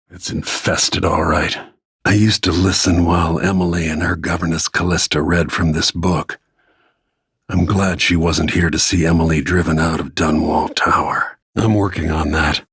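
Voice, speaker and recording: A weary middle-aged assassin in a game, deep gravelly husky voice, brooding and short with words. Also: male voice, a bit bright, no room sound, studio quality, full character voice. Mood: brooding, measured. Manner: terse, brooding, restrained. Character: grim, world-weary middle-aged male assassin and royal protector. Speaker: video game protagonist. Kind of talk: scripted video game voice lines.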